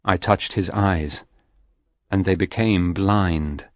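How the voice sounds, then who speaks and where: Guy doing a stern spirit, really deep voice, slow and heavy, dry sound. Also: no echo. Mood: recounting. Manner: slow, grave storytelling delivery. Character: a man's soul, spirit, stern and solemn. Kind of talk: audiobook reading.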